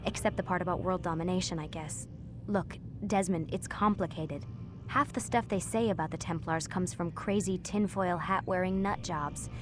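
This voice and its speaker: An actress playing a guarded, earnest young woman who explains things in a hushed, confiding way. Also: on the low side, moderate pace, music in the background, natural voice. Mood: earnest, explaining. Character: guarded, earnest young woman working inside a secretive company.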